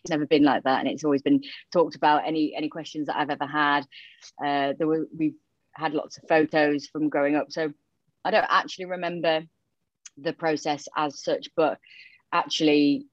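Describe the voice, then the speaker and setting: A woman's voice: low-pitched, flat delivery, moderate pace, dry recording. A woman from Romania with a Yorkshire accent, chatting openly as a podcast guest. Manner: chatty, open, many fillers. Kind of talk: casual interview chat. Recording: dull muffled audio.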